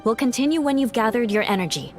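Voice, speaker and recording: An actress playing a composed young female knight in a video game, speaking in a measured, formal, authoritative way with cool concern. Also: fairly high pitch, animated, close to an everyday voice. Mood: firm concern. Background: game music.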